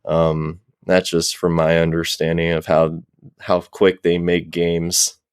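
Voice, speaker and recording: A man speaking as a podcast panel guest, casually explaining his view in a measured, tentative way. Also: sits low, completely flat delivery, clean tone, dry recording, studio quality.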